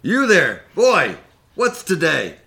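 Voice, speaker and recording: A man's voice: on the high side, wildly swooping pitch, takes it slowly, gravelly, pushed and bright, heavily acted. Man acting an emphatic, theatrical old miser, swooping delivery. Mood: giddy delight. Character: repentant old miser, pleading, then giddily joyful. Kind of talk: live radio-play acting of a Victorian story.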